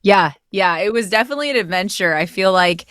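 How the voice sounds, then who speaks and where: A woman's voice: medium pitch, lively intonation, fast, a bit bright, no echo, clean studio sound. A woman speaking casually and effusively as a guest in an interview. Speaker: guest, voice actor who narrated the project.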